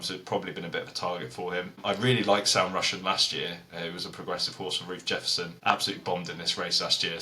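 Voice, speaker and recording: Low-voiced guy on a podcast, super bright tone, jokey racing tips chat. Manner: chatty, jokey, quick to laugh. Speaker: podcast co-host. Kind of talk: casual horse racing tipping chat.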